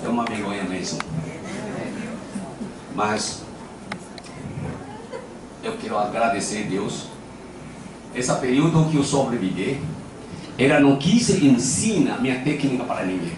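A man's voice: medium pitch, very animated, measured pace, long pauses. An older man speaking Portuguese with a Japanese accent, giving an informal acupuncture lecture into a handheld mic, telling anecdotes in a playful, self-deprecating way. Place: large lecture hall with audience. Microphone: handheld microphone. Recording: echoey hall, camera at a distance, background noise.

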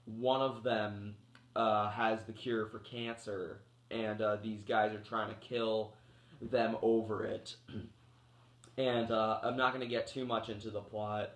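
A man's voice: on the low side, flat delivery, slow, fairly bright tone. A man around thirty reviewing a movie in a loose, rambling way, full of fillers and restarts, while getting over a cold that makes him cough. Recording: echoey room.

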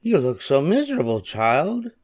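A man voicing a plump, kindly little fairy godmother who gives gently bossy instructions in a storybook. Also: mid-range voice, very animated, measured pace, pitched above the performer's own voice. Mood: kind, concerned. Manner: kind, instructing. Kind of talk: storybook character voice.

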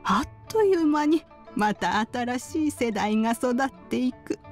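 A kindly old grandmother from a video game. She speaks very slowly in a lightly high, aged voice with archaic turns of phrase. She sounds wise and nostalgic, with soft music underneath. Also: lively intonation. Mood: fond, nostalgic. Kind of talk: video game character dialogue. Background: background music.

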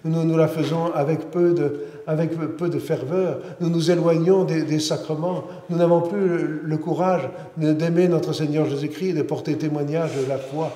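Middle-aged priest preaching in echoing church. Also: male voice, fairly high pitch, steady tone, moderate pace, warm tone. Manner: earnest, many restarts and repetitions. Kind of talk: sermon. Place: large church interior. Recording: roomy church with long reverb.

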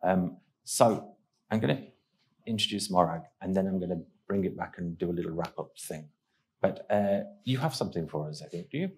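A man's voice: low-pitched, animated, moderate pace, darkish tone. A middle-aged man hosting a panel on a conference stage, talking into a headset mic in a musing way, with many uhs. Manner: musing, with fillers. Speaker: host.

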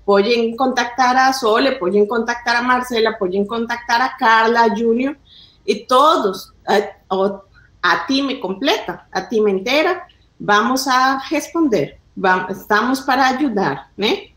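A woman's voice: on the high side, normal speed, a bit bright. A woman whose first language is Spanish, speaking Portuguese in an upbeat, inviting way on a video call. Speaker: community organizer. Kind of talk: welcoming address on a video call. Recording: echoey room on video call.

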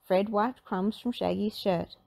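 A woman narrating a children's storybook in an animated read-aloud style. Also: mid-range voice, a little slow, gravelly, no room sound.

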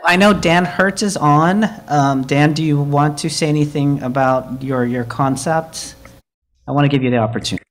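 A man's voice: fairly high pitch, steady tone, normal speed. A man chairing a committee at a hybrid meeting, talking conversationally with fillers and restarts.

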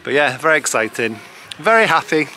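A man's voice: on the high side, big pitch swings, fairly quick, bright, forceful tone, slight background noise, rough recording. A man talking casually and informally as a travel vlogger, with an upbeat, chatty manner. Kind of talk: casual vlog chat.

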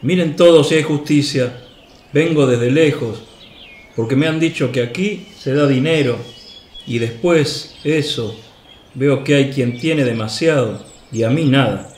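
A man's voice: mid-range voice, unhurried, darkish tone, natural voice. Man acting a whining old beggar, echoey. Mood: complaining. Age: older. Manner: whining, indignant complaining. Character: grumbling, greedy old male beggar feigning poverty. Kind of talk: Spanish-language radio play acting. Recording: roomy echo, faint birdsong noise.

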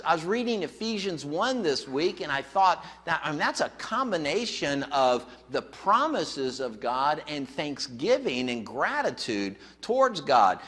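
High-voiced, animated American preacher, older man. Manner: emphatic, conversational preaching with rhetorical questions. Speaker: pastor. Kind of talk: sermon. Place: church sanctuary, at a pulpit. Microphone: gooseneck pulpit microphone. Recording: reverberant church hall.

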